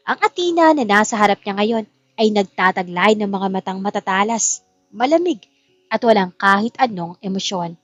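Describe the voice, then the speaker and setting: A woman's voice: medium pitch, dry recording, muffled recording. A woman as narrator, reading a scripted love story in dramatic style. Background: music.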